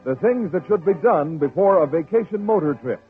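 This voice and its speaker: A man's voice: fairly high pitch, animated, fairly quick. A man delivering an emphatic scripted radio advertisement in 1950s announcer style. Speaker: commercial announcer. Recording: tinny old radio, music behind.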